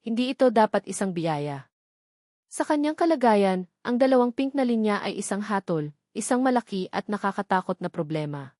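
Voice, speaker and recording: A woman reading a scripted romantic story in a measured, dramatic way. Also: medium pitch, very animated, dark tone, no room sound, clean studio sound. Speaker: narrator.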